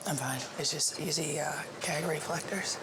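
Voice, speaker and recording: A woman's voice: sits low, moderate pace, creaky, very noisy. A woman talking casually and frankly on a reality TV show. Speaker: reality show participant, mother. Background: loud music bed.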